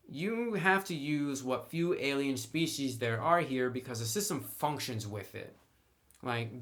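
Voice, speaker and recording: A man's voice: medium pitch, fairly bright tone. A man giving a conversational review and explanation, stressing key words emphatically by drawing them out. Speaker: tabletop game reviewer.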